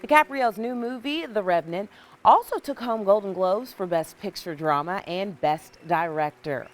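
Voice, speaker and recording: A woman's voice: mid-range voice, expressive, moderate pace. A woman with an American accent anchoring TV morning news, reading stories and chatting casually with a co-anchor. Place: TV news studio.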